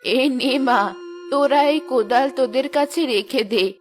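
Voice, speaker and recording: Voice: high voice, faint music behind. A performer voicing a kindly old magical village woman in a cartoon, talking affectionately like an elder. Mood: calm, instructive. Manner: slow, affectionate elder addressing young girls. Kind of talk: cartoon voice acting.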